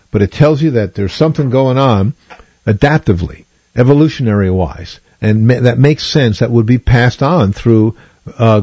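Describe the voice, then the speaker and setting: A man's voice: medium pitch, warm tone, dry recording, highs cut off. A man hosting a radio show, chatting casually about news items in a digressive way, with plenty of fillers and restarts. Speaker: radio host.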